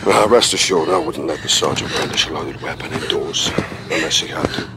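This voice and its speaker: A man's voice: very deep voice, fairly quick, vocal fry, very noisy. A man playing a middle-aged British special forces captain in a video game, terse, commanding and ruthless. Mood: cold menace. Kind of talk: cinematic video game acting.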